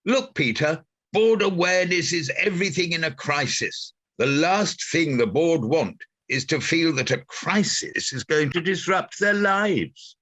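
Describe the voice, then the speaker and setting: A man plays a pompous general manager in a radio play, fussy and risk-averse. His voice is bright and forceful, swooping up and down as he lays out corporate jargon with great emphasis. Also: fairly high pitch, expressive, measured pace, few pauses. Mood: pompous, emphatic. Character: self-important, risk-averse bureaucratic male station manager. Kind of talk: radio comedy-drama dialogue.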